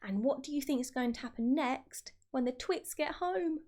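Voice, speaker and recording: A woman reading aloud to children as an expressive storyteller, speaking directly to her young listeners. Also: high voice, normal speed. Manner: addresses child listeners with questions.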